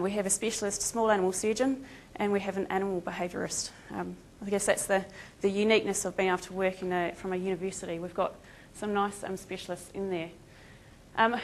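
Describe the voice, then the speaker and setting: A woman's voice: medium pitch, a little hiss or hum. An Australian woman whose accent has shifted toward New Zealand gives a conference presentation. She talks in a chatty, self-deprecating way and leans on frequent ums between thoughts. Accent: New Zealand-tinged Australian. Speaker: conference speaker, veterinary emergency team member.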